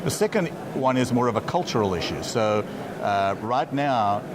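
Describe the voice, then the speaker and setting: A man's voice: medium pitch, lively intonation, normal speed, background noise. A man talking as a company executive in a trade show interview, often stumbling and repeating words at the start of his phrases.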